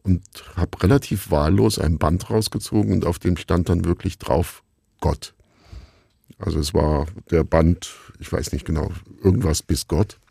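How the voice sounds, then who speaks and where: A middle-aged man being interviewed as a radio drama maker, telling stories in a musing, halting, self-deprecating conversational way. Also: low-pitched, vocal fry, dark tone, husky, dry recording, studio quality. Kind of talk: reflective conversational storytelling in an interview.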